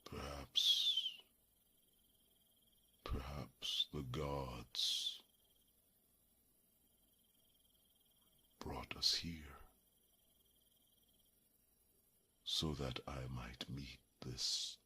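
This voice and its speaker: An enormous, ancient male dragon in an extremely deep, breathy rasp. The words come very slowly and solemnly, half-whispered and pensive. It is a tender, fatherly, sorrowful creature in an ASMR fantasy roleplay. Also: steady tone, bright, forceful tone, full character voice. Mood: mournful, wistful. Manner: slow, solemn, halting, pensive.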